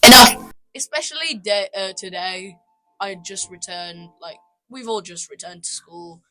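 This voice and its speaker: A woman's voice: mid-range voice, a little slow, sharp tone, faint music behind, low-quality recording. A teenage girl co-hosting a casual student podcast, talking in a chatty way, repeating herself and laughing as she goes. English is not her first language. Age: teens. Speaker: podcast co-host. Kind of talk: casual chat.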